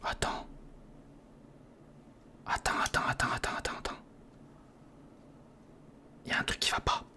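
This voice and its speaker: A breathy, unvoiced whisper carries this ASMR commentary. The speaker mutters casually to themselves while working with their hands, repeating short phrases in informal slang, close to the mic. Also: unhurried, sharp tone. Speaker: ASMR video creator. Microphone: close-up studio condenser or handheld recorder.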